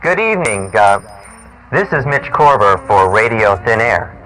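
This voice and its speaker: A man in his forties hosting a show, talking informally in a musing, halting, rambling way. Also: lowish voice, big pitch swings, over music, poor audio. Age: 40s. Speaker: cable TV show host and producer. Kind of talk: informal interview talk and reminiscence. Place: small equipment-packed studio. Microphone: clip-on mic.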